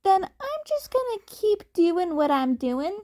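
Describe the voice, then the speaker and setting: Lady doing a cheeky kitten boy for an audiobook, super high voice, slow and animated, acts all innocent. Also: full character voice. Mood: smug, defiant. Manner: innocent, polite, defiant. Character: cheeky polite young cat boy vigilante. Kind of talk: fan fiction audiobook character voice.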